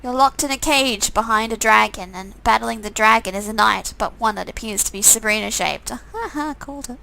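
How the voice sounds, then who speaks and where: A woman's voice: on the high side, normal speed, vocal fry, pushed and bright, poor audio. A woman reading a visual novel game aloud, with sarcastic, amused asides in a casual style. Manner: sarcastic, amused commenting between read lines.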